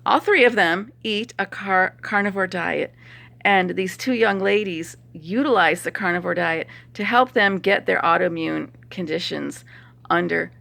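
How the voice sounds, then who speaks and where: Hoarse, gravelly-voiced woman vlogging by phone. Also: mid-range voice, sharp tone. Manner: chatty, opinionated, laughs while talking. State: hoarse, stuffy nose. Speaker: chiropractor vlogger. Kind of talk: casual talk to camera.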